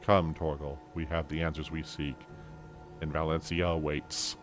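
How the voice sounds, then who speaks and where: A man voicing a stoic, solemn fantasy game warrior in a grave, measured, formal manner. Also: a bass voice, flat delivery, faint music behind.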